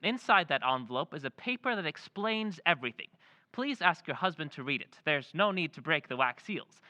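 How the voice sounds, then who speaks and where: A man's voice: fairly high pitch, expressive, fairly quick, bright, forceful tone, no room sound. A man playing a courteous mysterious stranger. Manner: courteous, formal, smooth. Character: polite mysterious male stranger, foreign-born. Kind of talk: old-time radio drama recreation.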